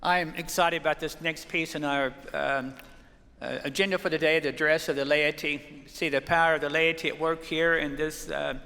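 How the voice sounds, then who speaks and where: A man from the Great Plains presiding over a church conference session, speaking haltingly with many fillers. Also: fairly high pitch, steady tone, gravelly, bright, forceful tone. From Great Plains, United States. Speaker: presiding officer. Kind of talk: chairing a plenary session. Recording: long reverb in a large hall.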